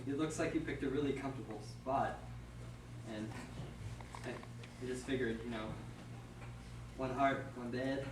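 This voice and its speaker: A man's voice: medium pitch, fairly even intonation, measured pace, pauses often, close to an everyday voice. A man playing an impulsive, lovestruck young lover in a stage play, earnest and melodramatic, recorded from far off in an echoey hall. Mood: tender. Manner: earnest, playful, swinging to melodrama. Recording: echoey hall, distant mic, noisy.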